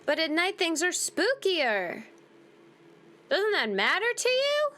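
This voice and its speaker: A woman's voice: extremely high pitch, wildly swooping pitch, slow pace, bright, forceful tone. A woman giving casual, playful playthrough commentary, conversational and talking back to the game as she plays. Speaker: gaming video host.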